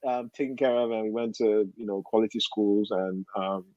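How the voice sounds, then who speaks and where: Mellow man, slightly muffled audio. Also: fairly low pitch, steady tone, moderate pace, no room sound. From Nigeria. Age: 40s. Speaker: podcast guest. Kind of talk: casual personal storytelling.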